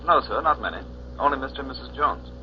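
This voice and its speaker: An actor playing a polite, formal hotel desk clerk in a 1940s radio drama, proper and businesslike. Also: male voice, medium pitch, animated, fast, lightly acted. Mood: polite, brisk. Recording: dull, noisy 1940s radio recording.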